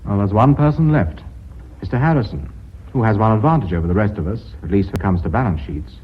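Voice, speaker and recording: An actor in a radio drama playing a brisk, commanding company chairman who probes insistently. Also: on the low side, expressive, slight background noise, natural voice. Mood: probing. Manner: authoritative, probing, insistent. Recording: dull radio recording.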